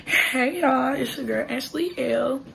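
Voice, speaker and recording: A young woman with a hoarse, raspy voice chatting casually to viewers while she cooks in her home kitchen. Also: high voice, slow pace, a bit bright, a little hiss or hum, poor audio. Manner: chatty, talks straight to viewers. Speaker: vlogger. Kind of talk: casual cooking vlog. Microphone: phone camera held close.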